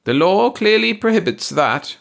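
A man's voice: sits high, big pitch swings, normal speed, vocal fry, a bit bright, voice pushed up, exaggerated voice. A man voicing a smug bald male alien who lectures his companion on the law with mocking precision. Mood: lecturing.

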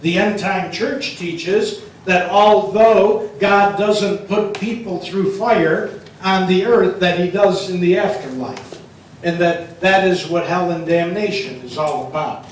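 Older man, pastor, sermon, slow, heavily echoing church, distant room mic. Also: sits high, a little hiss or hum. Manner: emphatic, asks the room questions and answers them, ends points with "okay?"; moves around the stage and gestures while preaching. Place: church sanctuary with large wall text. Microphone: room mic, speaker far from it.

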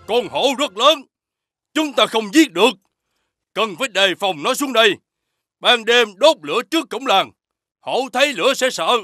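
A man voicing a commanding, careful village chief who instructs urgently in an animated fairy tale. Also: extremely high pitch, normal speed, long pauses, dry recording, studio quality, soft background music, heavily acted.

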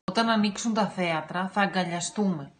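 A woman in her thirties delivering a scripted declaration in short phrases, recorded on a phone at home. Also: on the low side. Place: living room at home.

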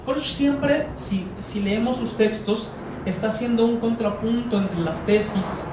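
A young man with a Mexican Spanish accent giving an academic lecture in a measured, explanatory way. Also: high-pitched, background noise. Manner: measured, explanatory, frequent hedging fillers. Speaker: course lecturer. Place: living room with sofas, indoors. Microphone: far camera. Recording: echoey room from far off.

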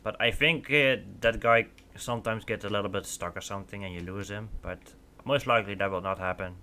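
A man's voice: lowish voice, a little fast, pushed and bright, a little hiss or hum. A young Dutch man, speaking English as a second language, casually commenting while he plays a shooter, rambling with lots of fillers and restarts. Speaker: gaming channel host. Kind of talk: casual let's play commentary.

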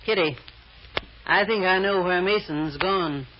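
A woman's voice: on the low side, a little slow, close to an everyday voice. An actress playing a shrewd, bossy, scheming woman in an old radio drama, clipped and sardonic. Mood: calculating. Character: shrewd, bossy woman scheming and controlling her tippling companion. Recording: dull, faintly noisy old radio recording.

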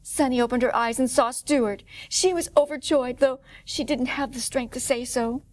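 A middle-aged woman narrates a children's story. Her voice sits fairly high and swings widely in pitch. She paces the tale expressively, with very little room echo. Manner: expressive, clearly paced for children. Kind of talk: read-aloud picture book narration. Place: indoor room, standing before a painted wall.

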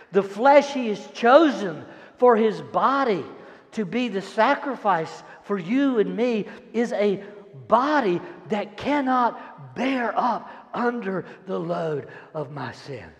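An older man preaches a sermon and reads scripture with dramatic, emphatic delivery and deliberate pauses. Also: high-pitched, gravelly. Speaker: pastor. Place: large church auditorium stage, indoors. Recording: echoey hall, long reverb.

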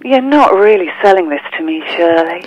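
A woman's voice: lowish voice, animated, normal speed, darkish tone, dry recording, muffled recording. A woman playing a coy, sly, flirtatious mystery caller, speaking teasingly in an audio drama. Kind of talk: podcast-style audio drama dialogue.

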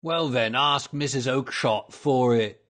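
Guy doing a grumpy market trader in an audiobook, pushy, loud, combative, pitched up a bit. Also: lively intonation, moderate pace. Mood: furious. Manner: blustering, emphatic, combative. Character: surly, hot-tempered market poultry salesman. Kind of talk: audiobook character voice.

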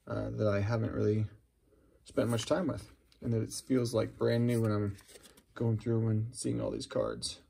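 A middle-aged man with a husky, gravelly voice, chatting casually and musing aloud as he hosts a card-opening video. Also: medium pitch, darkish tone, slight background noise. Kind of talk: casual unscripted commentary while handling cards. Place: indoors at a wooden table.

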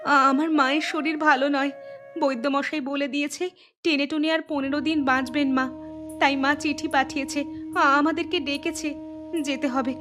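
A woman voicing a young wife and daughter in a cartoon, insistent and quick-tempered, with music behind. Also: sits high, level tone, lightly acted. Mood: worried.

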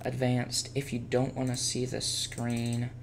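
A man presenting a casual step-by-step software tutorial as a screencast voice-over. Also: medium pitch, completely flat delivery, a little slow, creaky. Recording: faint background noise and keyboard typing.

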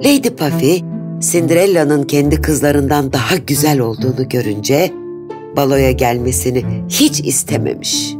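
Low-voiced woman narrating a fairy tale. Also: moderate pace. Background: instrumental music.

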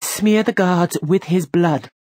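An actress playing a ruthless, scornful murderous wife in a theatrical Shakespearean drama, intense, commanding and breathy. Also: female voice, fairly low pitch, slow pace, darkish tone, dry recording, studio quality.

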